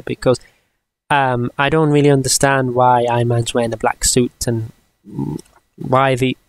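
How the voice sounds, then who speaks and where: A man of twenty-one giving a casual, chatty comics review for a vlog, rambling and often halting mid-sentence. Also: medium pitch. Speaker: vlogger.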